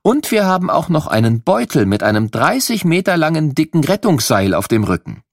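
A man's voice: fairly high pitch, sing-song, a little fast, no room sound, studio quality, pitched above the performer's own voice. A man voicing a female firefighter patiently explaining her equipment to children.

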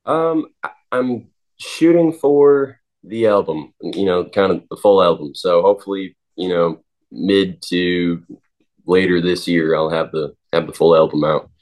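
A man of twenty, a singer-songwriter, answering interview questions in a halting way with many pauses and restarts. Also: deep voice, a little mellow, low-quality recording. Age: 20s.

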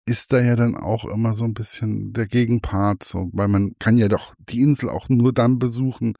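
A man from Hesse hosting an interview, asking rambling, relaxed questions. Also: on the low side, moderate pace, gravelly, no room sound, studio quality. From Hesse, Germany. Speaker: host. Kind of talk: casual interview chat.

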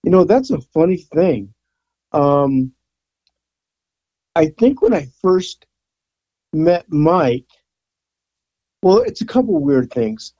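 A man in his sixties talks as a guest in a loose, rambling way, full of fillers and restarts. His voice is mellow and a little higher than usual for a man. The sound is dry and slightly dull, as if from a lo-fi recording. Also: big pitch swings, slow pace, pauses often, no room sound. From San Francisco Bay Area. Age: 60s. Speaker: guest, rock photographer. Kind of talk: casual interview chat.

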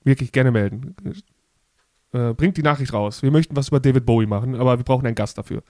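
A man's voice: medium pitch, quick pace, dark tone, no echo, studio quality. A man in his thirties hosting a podcast in casual chat, talking freely with many fillers and restarts. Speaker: podcast host.

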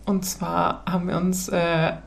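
A woman's voice: lowish voice, flat delivery, measured pace, creaky. A woman in her early thirties from northern Germany, chatting casually as a podcast co-host, with a giggly way of talking. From Hanover, northern Germany. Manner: giggly, laughs through her talk. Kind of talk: casual chat between two co-hosts.

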